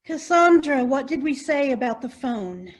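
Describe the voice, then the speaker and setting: Casting: actress as bossy, orderly woman in farce, high voice, firm and patient with muddled colleagues. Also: animated, measured pace. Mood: brisk, firm. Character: organized, bossy but patient woman board member. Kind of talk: farcical comedy acting.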